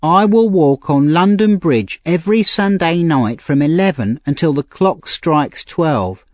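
A man's voice: lively intonation, a little slow, a little mellow, no echo. A man voicing a frightened, tearful young woman thief in a storybook reading, pleading and urgent. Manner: pleading, urgent and high-strung. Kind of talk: audiobook character reading.

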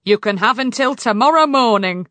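A woman voicing a stern, blustering king and exasperated father, loud and commanding, in a storybook. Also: a little high, lively intonation, normal speed, dry recording. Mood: stern, insistent.

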